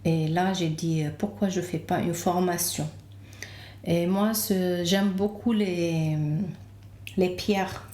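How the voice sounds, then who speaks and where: A woman's voice: fairly low pitch, fairly even intonation, slow pace, a little mellow. A woman from Lebanon with a Lebanese accent, telling her story as a podcast guest and searching for her words. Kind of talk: casual interview, telling own story. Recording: roomy, echoing room.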